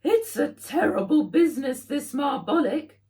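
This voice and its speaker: Woman putting on a high, projecting, proper helper. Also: big pitch swings, measured pace, mellow, distant mic. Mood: grave. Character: Fred, brisk, proper helper in adventure story.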